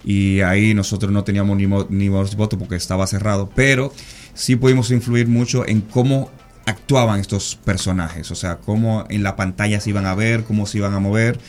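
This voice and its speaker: A man answering interview questions about animation work, measured and restarting often. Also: on the low side, steady tone, a little mellow. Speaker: animation studio guest.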